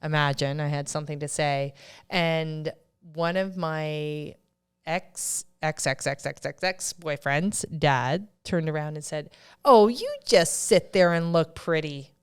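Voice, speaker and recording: Podcast co-host, woman, thirties, smooth low-ish voice, emphatic and quick to push back. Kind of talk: casual chat.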